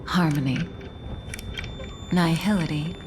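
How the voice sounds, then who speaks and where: A calm, enigmatic female mentor from a video game. Her voice is lowish and soothing, very measured and slow, with noticeable ambience behind it. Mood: calm, guiding. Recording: clear background ambience noise.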